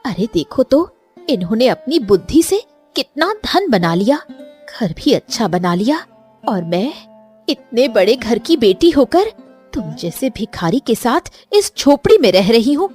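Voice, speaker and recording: A woman's voice: on the high side, very animated, unhurried, a little mellow, slightly rough, faint music behind, exaggerated voice. A woman voicing a bitter, contemptuous young wife in a cartoon story, scolding and disdainful. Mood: contemptuous complaint. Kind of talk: Hindi cartoon moral story acting.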